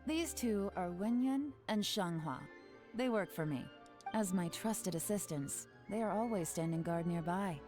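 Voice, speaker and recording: An actress playing a cool, commanding female spymaster who gives calm, crisp orders, game music behind. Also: fairly low pitch, a little slow. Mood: composed.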